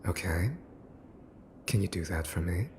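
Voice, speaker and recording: A man playing a mafia boss's son in an ASMR roleplay, possessive and menacing, with theatrical sarcasm and mocking politeness. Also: sits low. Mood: teasing, menacing. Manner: theatrical, sarcastic, deliberate repetition, sighing. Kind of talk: ASMR boyfriend roleplay acting. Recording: roomy echo, faint background noise.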